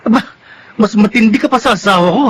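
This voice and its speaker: A man's voice: high-pitched, fast talker, a little hiss or hum, lightly acted. A man playing a bossy, teasing, lecherous patron of a mistress in a melodrama. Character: lecherous man paying his demanding mistress. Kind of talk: Tagalog radio-style melodrama acting.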